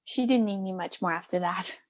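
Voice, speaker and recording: A woman's voice: fairly low pitch, brisk, lightly acted. A woman playing a caring but firm middle-aged Cuban aunt in a play table read, coaxing and mixing in Spanish endearments. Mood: gentle, nostalgic.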